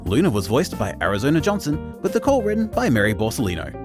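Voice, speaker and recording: A man's voice: medium pitch, huge pitch swings, fast, over music. A man reading scripted end credits as an announcer for an audio show.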